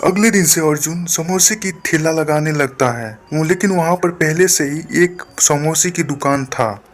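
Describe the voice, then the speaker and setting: A man's voice: fairly high pitch, measured pace. A man narrating a simple moral story as a scripted storyteller.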